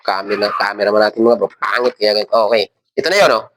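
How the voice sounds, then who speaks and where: A man's voice: on the low side, racing, a bit bright, a little hiss or hum. Filipino man giving a casual hands-on tutorial. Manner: informal, instructing step by step while handling parts. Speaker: tutorial host.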